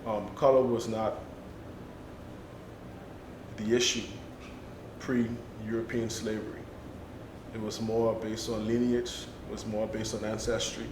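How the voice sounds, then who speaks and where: A man's voice: little pitch movement, slow pace, pauses often. A man speaking earnestly on a panel, building emphasis through repetition. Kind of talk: discussion. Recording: echoey room with low rumble.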